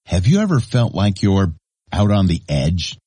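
A man reading a scripted podcast promo in a lively, confiding pitch. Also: a little slow, slightly rough, no room sound. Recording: promo with music bed behind. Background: music.

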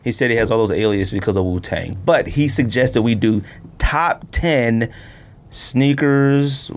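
A man hosts a casual studio podcast at an average male pitch. He rambles in slangy, animated fashion, moving loosely from one aside to the next. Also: a little slow. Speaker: sneaker podcast host. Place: podcast recording room, in studio.

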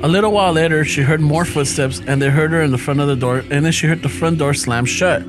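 A man with a Chicano English accent, casually reading a listener's story aloud on a podcast, relaxed and profane, mixing in Spanish phrases. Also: a little high, fast talker, low-quality recording. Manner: laid-back, swears often, laughs through lines. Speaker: podcast host. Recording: music playing underneath.

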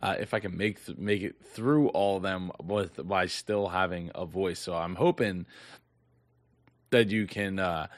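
A hoarse man with a lowish voice riffs on business headlines, trailing off and restarting his sentences often. His close, dry mic leaves no room sound at all. He talks casually, as if to regular viewers. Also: a little slow, no room sound. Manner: rambling, many restarts and fillers. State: losing his voice. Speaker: business news host. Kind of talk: casual news commentary.

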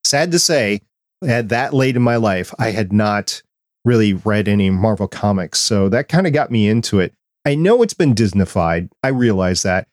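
A man's voice: on the low side, lively intonation, moderate pace, no room sound, studio quality. A middle-aged man speaking as a podcast co-host in a casual fan discussion.

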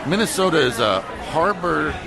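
A man's voice: fairly high pitch, big pitch swings, moderate pace, creaky, low-quality recording. A man chatting as a podcast guest in a busy restaurant and bar, ranting in mock anger and swearing. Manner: mock-angry, profane ranting. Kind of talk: casual chat. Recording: loud bar music and crowd noise.

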